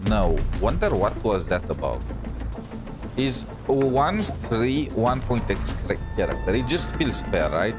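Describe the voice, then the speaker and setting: A man's voice: on the low side, very animated, takes it slowly. Rambling male game streamer over music. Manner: rambling, thinking aloud, often seeking agreement. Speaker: game livestreamer. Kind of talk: casual gaming stream chat. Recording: music playing behind the voice.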